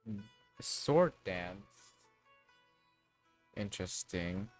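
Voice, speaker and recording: Young male streamer, wry reactions, lowish voice, faint game music behind. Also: animated, very slow. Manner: wry, reading aloud and joking. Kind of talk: casual livestream reaction.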